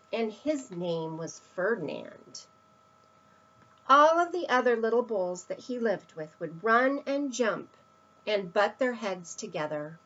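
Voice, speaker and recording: A woman's voice: fairly high pitch, muffled recording. A woman reading a children's picture book aloud, expressively, with emphatic stresses and asides to young listeners. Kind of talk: read-aloud children's storytelling.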